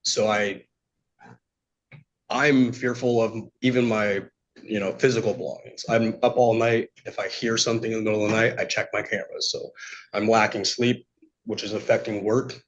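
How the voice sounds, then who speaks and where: A man giving unscripted testimony to a judge, speaking in a halting, polite way. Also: lowish voice, level tone. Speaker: petitioner testifying in court. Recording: dull, lo-fi courtroom audio.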